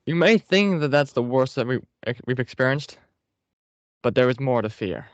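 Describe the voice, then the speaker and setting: A man's voice: mid-range voice, animated, normal speed, dry recording, close to an everyday voice. Man voicing a weary paper general, gloomy, sarcastic, muffled dry sound. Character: weary, fatalistic male paper-ball general.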